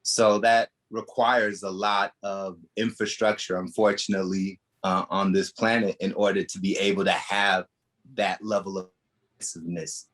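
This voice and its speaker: A man, a podcast guest, speaking slowly and thoughtfully, often pausing to search for words. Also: sits low, little pitch movement, a bit bright. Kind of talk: casual interview talk.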